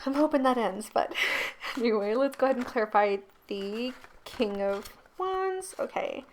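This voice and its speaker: A woman with a croaky, gravelly voice giving a casual pick-a-card tarot reading, chatty and laughing often. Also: fairly high pitch, lively intonation, normal speed, slight background noise. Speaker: tarot reader and channel host.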